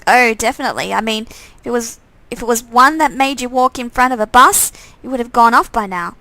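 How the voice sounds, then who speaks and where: Easygoing visual novel young man, voiced by a woman, offhand, hedging delivery, bright tone, dry sound. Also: fairly high pitch, a little fast, no room sound. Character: easygoing young man, casual supernatural know-it-some.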